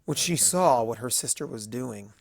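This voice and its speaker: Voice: medium pitch, expressive, moderate pace, creaky, no room sound. A narrator reading a scripted story aloud in a storytelling style.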